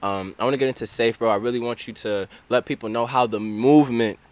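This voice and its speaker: A man's voice: mid-range voice, fast talker, clear, resonant tone, dry recording. A man in his thirties hosting an interview, slangy and effusive, on a handheld mic in an indoor studio. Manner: slangy, says "bro" constantly, effusive. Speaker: host. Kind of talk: casual interview chat. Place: indoor studio set with couches.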